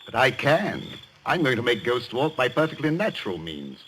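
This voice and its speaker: A man playing a confident, plain-spoken skeptic in a 1940s radio drama, brisk and insistent. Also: medium pitch, very animated, a bit bright. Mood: confident, challenging. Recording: noisy vintage radio recording.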